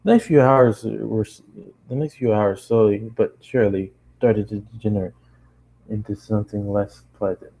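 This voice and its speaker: A man's voice: on the low side, fairly even intonation, normal speed, vocal fry, mellow, husky, rough recording. A man narrating a first-person story as an amateur reader.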